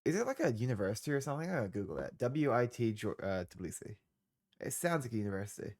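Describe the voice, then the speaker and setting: A man's voice: mid-range voice, big pitch swings, fast talker, a little mellow. A young man with a congested voice, casually narrating a football management game he is streaming, with laid-back, trailing asides. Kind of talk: casual gameplay commentary.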